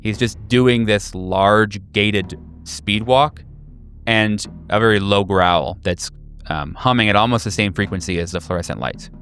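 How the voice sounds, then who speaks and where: Low-voiced male game master, halting narration. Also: fairly bright tone. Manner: halting, searching for words. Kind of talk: improvised narration of a horror tabletop game. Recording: music behind. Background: clear background music.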